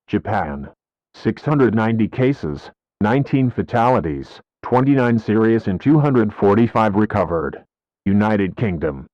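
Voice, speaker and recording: A synthetic-sounding man reading a scripted emergency bulletin in a formal, flat, list-like manner. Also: lowish voice, measured pace, no room sound. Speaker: emergency alert announcer. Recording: dull lo-fi audio.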